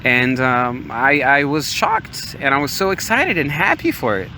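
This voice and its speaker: Bright-voiced young man telling personal stories. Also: mid-range voice, measured pace. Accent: American. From Morocco. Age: young adult. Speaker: podcast host. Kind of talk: casual personal storytelling. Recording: steady outdoor-like background noise, waves. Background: ocean waves ambience.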